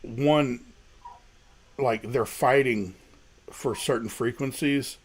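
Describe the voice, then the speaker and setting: A man with a deep voice, a guest musician talking casually and rambling about his recording struggles. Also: slow, breathy edge, low-quality recording. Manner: self-deprecating, rambling, many restarts. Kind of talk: casual livestream chat.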